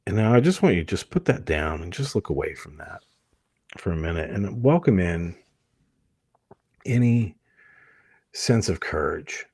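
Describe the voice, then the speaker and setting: A man leading a guided hypnosis meditation, speaking gently and soothingly in short phrases with many pauses. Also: deep voice, slightly rough, no room sound, studio quality.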